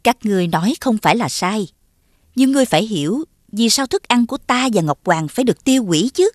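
A woman voicing a composed, merciful queen goddess in an animated fairy tale, measured and regal. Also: on the high side, dry recording, clean studio sound. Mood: calm, merciful.

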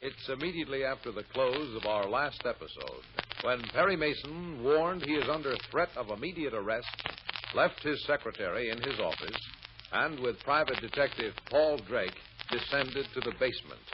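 A man's voice: medium pitch, lively intonation, moderate pace. An American man narrating a radio serial in a formal, dramatic announcer's style. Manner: formal, dramatic teasing delivery. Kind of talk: old-time radio scripted narration. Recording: muffled vintage radio recording, faint noise.

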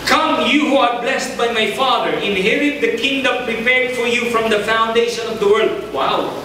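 A man's voice: very high-pitched, moderate pace, sharp tone. A man in his thirties with a Filipino accent, preaching a sermon at a pulpit and switching between English and local languages, emphatic and calling on the congregation. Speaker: pastor. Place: large church hall, indoors. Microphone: handheld mic at a pulpit, filmed from far. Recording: echoey hall from far off with noise.

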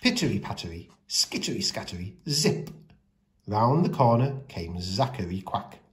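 Casting: male storyteller, lowish voice, expressive read-aloud of rhyming picture books for young children. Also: normal speed.

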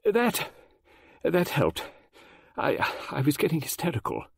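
A man doing a shaken middle-aged woman writer, breathless and faltering, with a raised voice that leaps all over the place. She restarts her sentences in a hurried rush. The recording is close and dry, with no room sound. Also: fairly high pitch, wildly swooping pitch, creaky, husky, no room sound, pitched above the performer's own voice, heavily acted. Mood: shaky. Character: shaken, flustered middle-aged female novelist.

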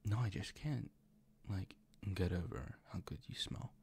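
A man playing a doting, gently dominant boyfriend in an intimate ASMR roleplay, speaking in a soft, coaxing, reassuring way with a breathy, gravelly whisper. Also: deep voice, animated, measured pace, heavily acted. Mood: tender. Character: doting, gently dominant, teasing boyfriend comforting his partner.

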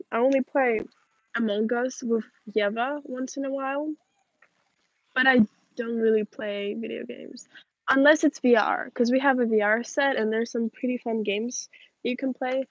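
A young woman in her late teens, a native Russian speaker, talks in a fairly high voice. She chats informally as a livestream host fielding questions. The sound is dry and slightly dull, captured outdoors at arm's length. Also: dry recording. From Moldova. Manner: informal, chatty. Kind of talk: casual chat, reading and answering viewer questions. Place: outdoors in a backyard garden. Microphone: phone or camera at arm's length.

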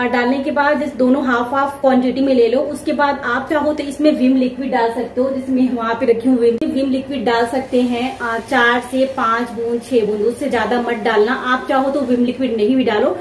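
A young woman from India talks through her day in a relaxed home vlog, addressing her viewers directly. Her voice sits a little high. The kitchen around her makes it ring with a noticeable room echo, and a faint hum of ambience runs underneath. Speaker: vlogger. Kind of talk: casual home vlog, showing groceries and explaining.

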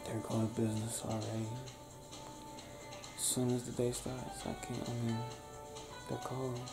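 Young male, mid-pitched with heavy vocal fry, sleepy bedside ASMR partner, soft breathy murmur drifting toward whisper. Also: little pitch movement, normal speed, over loud music. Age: young adult. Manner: drowsy, soft, intimate murmur, lying down. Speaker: ASMR roleplay performer. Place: small indoor bedroom, lying on a bed.

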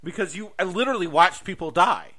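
Bright, forceful man podcasting. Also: high voice, expressive, fast. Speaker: podcast host. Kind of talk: casual podcast conversation.

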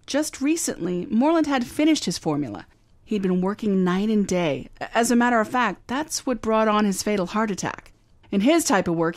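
A woman's voice: mid-range voice, dry recording, close to an everyday voice. An actress playing a confident, wisecracking female private eye in a radio drama, breezy in tone. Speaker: actor. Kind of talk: old-time radio drama acting.

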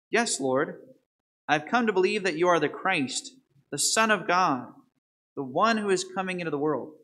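A man's voice: fairly high pitch, pushed and bright. A man in his thirties, a priest reading the gospel and then preaching a homily in a large church, quizzing the congregation. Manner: asks the congregation questions and quizzes children. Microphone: microphone at the altar, far camera.

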